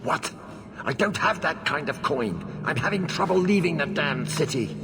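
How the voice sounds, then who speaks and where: A man's voice: on the high side, expressive, normal speed, vocal fry, background noise. An actor playing an arrogant, irritable nobleman villain in a video game, speaking with open contempt. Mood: exasperated, contemptuous. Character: arrogant, cornered noble schemer. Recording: loud music behind. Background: game music.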